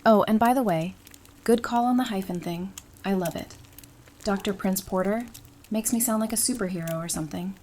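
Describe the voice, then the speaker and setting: A woman's voice: mid-range voice, normal speed, a little mellow, close to an everyday voice. A woman acts out a practical, affectionate newlywed in an audio drama. Her mid-range voice is casual and lightly teasing, recorded over audible ambient noise. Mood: playful. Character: affectionate, playful newlywed bride, practical and bright-minded.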